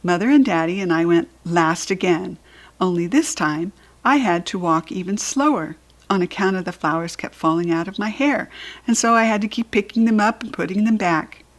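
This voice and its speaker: A woman with an American accent narrating a children's storybook expressively, with animated, dramatic emphasis. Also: lowish voice, moderate pace. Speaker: storybook reader.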